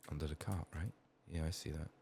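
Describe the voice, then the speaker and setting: A soft-spoken man murmuring through an ASMR game playthrough, often dropping into whispers, his voice a little strained from being sick. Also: extremely low pitch, fairly even intonation, a little fast, vocal fry. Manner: murmured thinking aloud. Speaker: ASMR gameplay streamer. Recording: faint noise, keyboard typing and clicks. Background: keyboard typing, clicking, faint noise.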